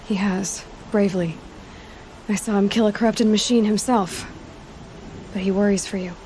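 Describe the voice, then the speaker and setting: A woman playing a determined young huntress in a video game, talking in a direct, dry, quick-witted way. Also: mid-range voice, fairly even intonation, dark tone, a little hiss or hum, close to an everyday voice. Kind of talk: video game cutscene acting.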